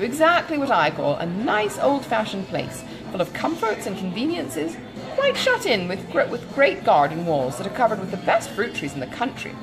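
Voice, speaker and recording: Casting: female reader as excitable older matron for a storybook, good-natured gossip, gushing, very animated swoops in pitch, music underneath. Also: poor audio. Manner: gushing, exclamatory, rambling.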